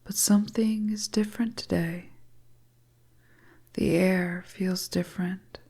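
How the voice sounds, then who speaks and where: Slow, whispery woman storyteller. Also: lowish voice, fairly even intonation. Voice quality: breathy, whispery. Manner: slow, hushed, second-person suspense narration. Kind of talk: hypnotic whispered story narration.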